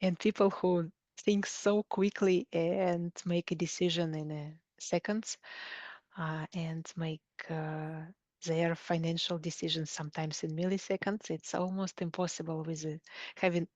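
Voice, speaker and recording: A woman's voice: fairly low pitch, little pitch movement, measured pace, darkish tone, no room sound, highs cut off. A woman from Siberia with a Russian accent, answering interview questions conversationally and often searching for words. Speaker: coach, interview guest.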